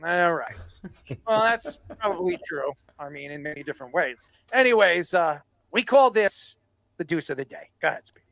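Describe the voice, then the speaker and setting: A sports talk show host giving opinionated commentary in an emphatic, declamatory way with a creaky voice. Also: medium pitch, lively intonation, moderate pace, fairly bright tone, no echo.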